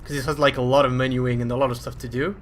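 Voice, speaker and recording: A man's voice: medium pitch, little pitch movement, rapid-fire, slight background noise. A man with a French accent commentating live over his own speedrun in a chatty, self-deprecating way.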